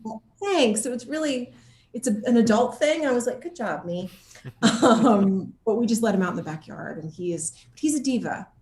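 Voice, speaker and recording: A woman's voice: on the high side, animated, moderate pace, darkish tone. Bubbly, giggly woman in an echoey room. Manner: bubbly and giggly, uses playful slang. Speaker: actor being interviewed. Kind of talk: casual chat in a video interview. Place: home audition room.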